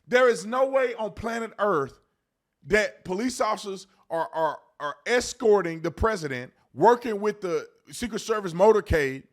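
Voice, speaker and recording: An American man hosting an informal, emphatic commentary, repeating phrases to drive his points home. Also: high-pitched. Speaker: host commentator, former police officer.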